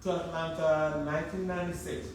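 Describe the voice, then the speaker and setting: A man's voice: fairly high pitch, level tone, brisk, slight background noise. A middle-aged man with a Nigerian accent, a plastic surgeon who explains emphatically and repeats words while he thinks. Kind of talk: interview on a live stream. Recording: echoey room, mic at a distance.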